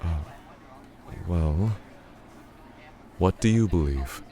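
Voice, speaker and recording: A man playing a brooding, bookish philosopher in an audio drama, halting and deliberate as he muses. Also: sits low, lively intonation, dark tone, full character voice.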